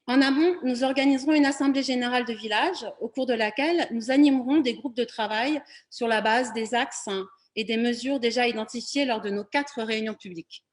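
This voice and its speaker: A woman with a fairly high voice speaks as a candidate in a local election. She delivers a prepared presentation, setting things out formally for a public meeting. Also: brisk, hardly any pauses.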